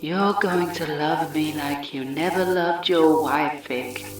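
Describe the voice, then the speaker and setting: Actress as menacing lab seductress for radio play, lowish voice, measured commands, drenched in echo and set back from the mic. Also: female voice, soft background music. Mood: seductive, menacing. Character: controlling seductive female experimenter, cool and menacing. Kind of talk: audio play acting. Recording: very echoey, distant-sounding voice.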